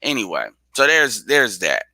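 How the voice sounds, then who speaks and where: A man's voice: fairly low pitch, big pitch swings, moderate pace, pushed and bright, no room sound, rough recording. A man hosting a live audio stream in casual chat, blunt and profane, talking straight at his listeners.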